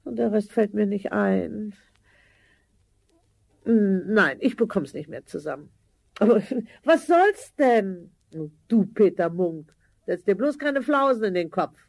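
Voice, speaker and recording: A woman playing a worried, homely older mother in a 1970s fairy-tale audio drama, speaking in a halting, motherly way. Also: medium pitch, animated, creaky, darkish tone, dry recording, full character voice. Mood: dismissive, motherly.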